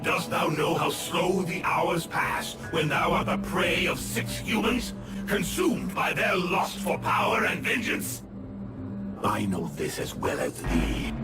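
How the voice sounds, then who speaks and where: Game demon, ancient and wrathful: slow archaic declamation with big pitch swings, echo and loud music behind. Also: male voice, far from the mic. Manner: theatrical, declamatory, archaic diction. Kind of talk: dramatic game cutscene acting in archaic English.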